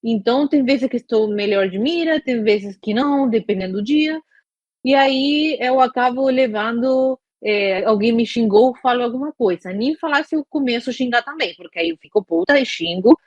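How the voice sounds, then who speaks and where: A woman's voice: a little high, normal speed, a little mellow, no echo. A woman from Argentina, talking frankly and venting in a casual podcast chat. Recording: dull lo-fi dry recording.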